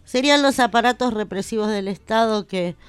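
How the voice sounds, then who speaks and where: A woman co-hosting a radio talk show, giving measured commentary. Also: a little high, clear, resonant tone. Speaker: radio co-host. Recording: music under the voice. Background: music.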